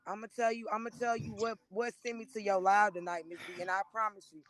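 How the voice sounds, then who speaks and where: A hoarse woman in her thirties gives an emotional, preachy testimony on a live stream, speaking into a phone and often calling listeners by name. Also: medium pitch, little pitch movement, fast talker. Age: 30s. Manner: earnest and preachy, repeatedly addressing listeners by name.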